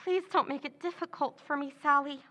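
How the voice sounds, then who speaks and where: A woman's voice: high-pitched, fairly even intonation, a little fast, bright, forceful tone. An actress playing a scheming woman who feigns nervous distress in an old-time radio drama, stammering and hesitating. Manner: stammering, hesitant, breathy pleading. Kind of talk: 1940s radio acting.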